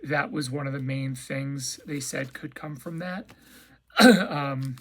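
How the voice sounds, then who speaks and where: A man with a raspy voice giving a casual, rambling spoken update, full of ums and restarts. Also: medium pitch, takes it slowly, a little hiss or hum. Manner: rambling, hesitant, lots of fillers and restarts. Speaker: vlogger. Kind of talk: casual personal update chat.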